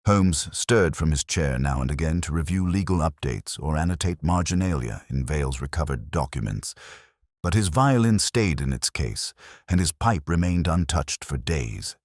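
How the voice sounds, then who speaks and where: Very deep-voiced male story narrator. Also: lively intonation, dry recording, clean studio sound. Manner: measured, solemn storytelling. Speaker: audiobook narrator. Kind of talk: scripted literary narration of a Victorian detective story.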